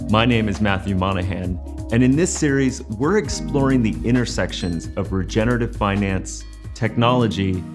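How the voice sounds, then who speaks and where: Earnest male podcast host, low voice. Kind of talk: scripted intro, then interviewing. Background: faint music.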